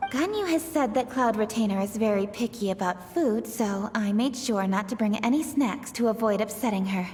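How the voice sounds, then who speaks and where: An actress playing a polite, meticulous, self-assured noblewoman leader in a game, with measured delivery. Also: medium pitch, darkish tone, long reverb tail, a little hiss or hum, close to an everyday voice. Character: composed, meticulous noblewoman leader, confident and calculating. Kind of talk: scripted game dialogue.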